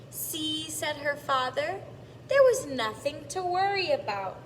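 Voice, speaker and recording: A woman reading a storybook aloud to preschoolers in a deliberate teacher's manner, stretching out repeated words and pausing to explain. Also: high voice, a bit bright. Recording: echoey, distant mic, clearly noisy background.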